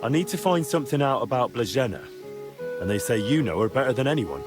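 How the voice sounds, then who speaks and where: A man's voice: mid-range voice, sing-song, fast talker, over music, natural voice. A man playing an earnest young investigator in a medieval video game drama, direct and questioning, reassuring but pressing. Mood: inquisitive. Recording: clear background ambient noise.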